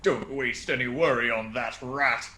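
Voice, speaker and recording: An actor playing a cold, easily angered criminal in a radio play, speaking in a deliberate, sinister way. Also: male voice, medium pitch, fairly even intonation, pushed and bright. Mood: angry, insistent. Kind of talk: old-time radio play acting.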